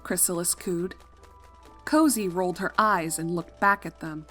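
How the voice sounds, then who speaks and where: A woman's voice: on the low side, a little slow, pushed and bright, faint music behind. A woman reading scripted story narration as the narrator of a fan fiction.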